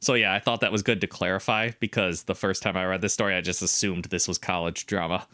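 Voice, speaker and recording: Bright-toned low-voiced man co-host. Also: fairly even intonation, fast, dry recording, studio quality. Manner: inquisitive, asks clarifying questions, opinionated. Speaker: podcast co-host. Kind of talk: casual chat, reading online comments aloud.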